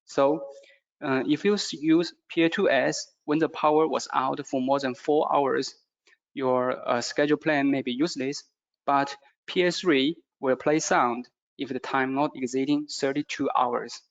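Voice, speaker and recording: A man who is a non-native English speaker gives a product presentation in a halting way, with frequent hesitations. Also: medium pitch, fairly even intonation, highs cut off. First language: not English.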